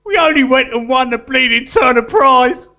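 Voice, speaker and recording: An actor playing a matey, sentimental guerrilla artist convict in a live comedy radio drama. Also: male voice, extremely high pitch, lively intonation, normal speed, over loud music. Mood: matey, amused. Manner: matey, colloquial, earnest.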